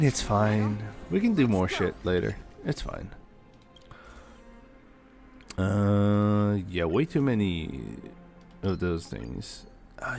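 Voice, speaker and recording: Low voiced guy with vocal fry mumbling to himself on stream, casual, bit sweary, game music behind. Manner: muttering to himself, offhand, mildly profane. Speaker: streamer, host. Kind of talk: casual livestream chat while gaming.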